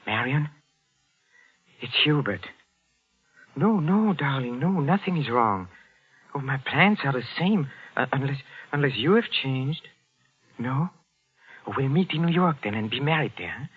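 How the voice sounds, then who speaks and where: A meek husband with a scheming streak in old-time radio drama style. He speaks hesitantly with big swings of pitch, on a muffled old recording. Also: expressive, unhurried, pauses often, slightly rough. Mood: tender, furtive. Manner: hesitant, stammering, falsely deferential. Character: henpecked botanist husband, meek outside, slyly scheming.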